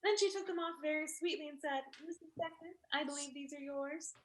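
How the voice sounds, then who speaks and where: A woman's voice: high voice, brisk, close to an everyday voice. A woman acting a vain, glamorous, wealthy socialite who gushes about herself in a staged play reading over a video call. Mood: gushing. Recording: roomy video-call audio.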